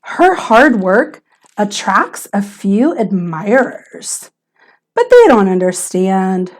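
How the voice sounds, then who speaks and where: A woman teacher reading a children's picture book aloud in a theatrical, expressive way with emphasis on key words. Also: medium pitch, takes it slowly.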